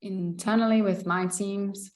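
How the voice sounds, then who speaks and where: A woman's voice: medium pitch, fairly even intonation, unhurried, a little mellow. A British woman hosting a podcast interview in a conversational style. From England. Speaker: podcast host.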